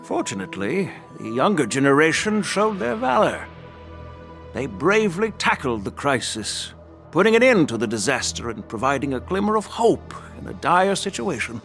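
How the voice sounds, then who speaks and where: A man playing a venerable elder strategist in a video game, speaking in a formal, deliberate, weighty way. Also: on the high side, big pitch swings, fairly bright tone, faint music behind. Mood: reassured, formal. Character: venerable elder statesman, measured, sagely strategist. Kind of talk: scripted video game dialogue.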